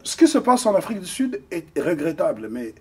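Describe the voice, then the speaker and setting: A man from the Republic of the Congo speaks as an interview guest, with a high voice and a husky grain. He argues his points emphatically, stumbling over fillers as he goes. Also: big pitch swings, a little fast, mellow. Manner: emphatic, hesitant with frequent fillers. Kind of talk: impassioned political commentary.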